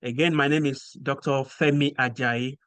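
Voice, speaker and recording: A man from Nigeria with a Nigerian accent, explaining things in a measured way with frequent pauses as a podcast guest. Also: medium pitch, fairly even intonation, a bit bright, clean tone, no echo. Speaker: guest researcher. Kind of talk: podcast interview.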